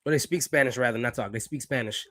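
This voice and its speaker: A man's voice: mid-range voice, fast, a bit bright, no echo, clean studio sound. A man from the Caribbean co-hosting a podcast in casual chat, easygoing and a bit tired, often stammering. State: tired after work. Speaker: podcast co-host.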